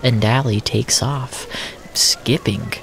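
Slow, deliberate male storyteller over ambient noise. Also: mid-range voice, steady tone, gravelly, a bit bright, poor audio. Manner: deliberate, descriptive delivery in short fragments. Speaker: narrator. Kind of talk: first-person surreal audio fiction narration.

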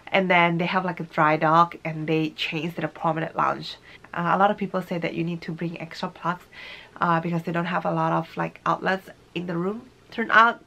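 Low-pitched woman vlogger. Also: creaky, fairly bright tone. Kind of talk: casual review talk to camera. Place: small room at home, indoors.

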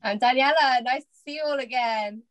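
A woman's voice: sits high, fairly quick, fairly bright tone. A woman co-hosting a podcast in an upbeat, enthusiastic way. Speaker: podcast co-host.

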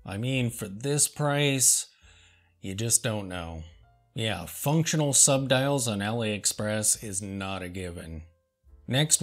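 A man with an ordinary, middle-pitched voice talks very slowly and deliberately, landing each sarcastic aside with a dry, deadpan touch. Quiet lounge music plays softly under his voice. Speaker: host. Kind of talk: deadpan comedic commentary reading reviews.

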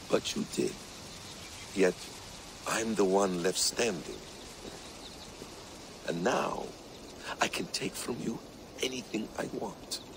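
An actor playing a calm, scheming ancient man who speaks in a measured, quietly menacing way, in a video game cutscene. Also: sits low, creaky, noisy background, heavily acted.

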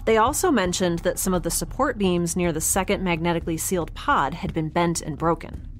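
A woman's voice: fairly low pitch, brisk, slight background noise, close to an everyday voice. A woman playing a wry, curious, stubborn young spaceship dweller in a naturalistic sci-fi audio drama, rambling and hesitant with sarcastic asides. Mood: rambling, thoughtful.

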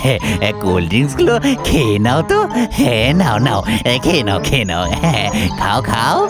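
Cartoon villain: sly con man, falsely friendly, extremely animated pitch, wheedling repetition, over music. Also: fairly quick. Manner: wheedling, repeats words.

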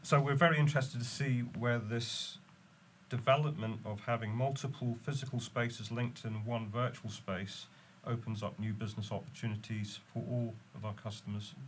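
A man on a panel, speaking in a measured way with many pauses while losing his voice to a head cold. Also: mid-range voice, fairly even intonation, slight background noise, low-quality recording. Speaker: guest from the company. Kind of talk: panel discussion. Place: dim studio lounge with screen.

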